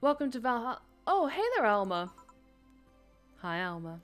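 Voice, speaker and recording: A woman's voice: a little high, very animated, normal speed, soft background music. A woman streaming a game, chatting casually with her viewers, self-deprecating and talkative. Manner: chatty, self-deprecating, reads chat aloud.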